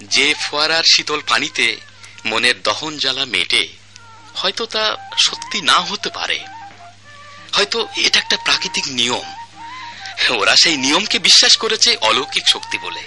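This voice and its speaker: An actor playing a contented elderly husband who talks tenderly and poetically, in a radio drama. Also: male voice, a little high, huge pitch swings, unhurried, pauses often, sharp tone, faint music behind, full character voice. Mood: reflective. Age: older.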